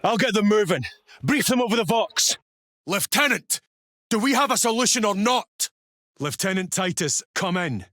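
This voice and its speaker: An actor playing a stern, commanding military captain in a science fiction video game, speaking in a clipped, formal, authoritative way. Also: male voice, high voice, lively intonation, normal speed, a bit bright, dry recording, studio quality. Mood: urgent, angry. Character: stern, commanding male military captain of armored space soldiers. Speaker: mission briefing officer. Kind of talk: scripted video game voice acting.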